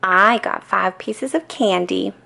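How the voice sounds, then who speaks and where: A woman's voice: mid-range voice, creaky, pushed and bright, full character voice. A woman voicing a bossy, exasperated young girl, a scornful big sister in a children's storybook. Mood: bragging. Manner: bossy, emphatic, drawn-out scorn. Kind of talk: children's storybook read-aloud.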